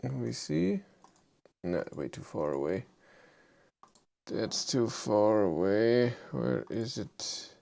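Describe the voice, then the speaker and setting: A man with a thick German accent hosting a casual live chat, low-key and hesitant, with many fillers as he answers viewers. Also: big pitch swings, takes it slowly, gravelly, pauses often, darkish tone. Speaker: livestream host.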